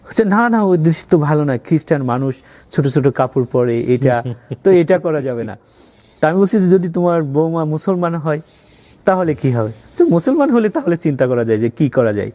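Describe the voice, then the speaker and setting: Man, Bangladeshi accent, fairly high pitch, conversational interview storytelling, English words mixed in. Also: big pitch swings, fairly quick, mellow. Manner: mixes English words into his speech. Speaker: interview guest, college owner.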